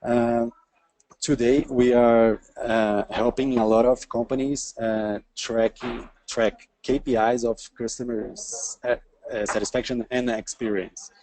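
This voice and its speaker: A man who runs a tech company, introducing it in plain, halting English. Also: medium pitch, level tone, darkish tone, poor audio.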